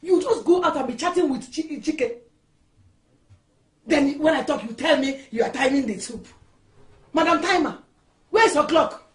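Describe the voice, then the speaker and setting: A woman's voice: high voice, normal speed, long pauses, reverberant, natural voice. A woman playing an easily angered older mother-in-law in a film drama, with a Nigerian accent and a sarcastic, accusing manner. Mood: scolding, sarcastic. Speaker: actress.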